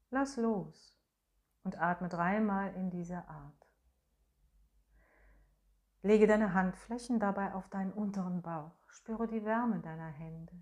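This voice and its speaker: A woman guides a breathing and voice meditation at a very slow, unhurried pace. Her voice sits in a middle range, soft and soothing, with long pauses between instructions. Now and then she hums a sustained tone to show the exercise. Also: medium pitch. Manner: hums and sings sustained tones as demonstration.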